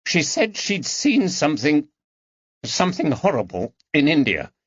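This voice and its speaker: Male narrator as young male swindler, pleading, defensive, raised swinging pitch, audiobook mystery, dull audio. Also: huge pitch swings, measured pace, slightly rough, full character voice. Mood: angry, resentful. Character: frightened, resentful young male swindler under arrest. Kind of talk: audiobook character dialogue.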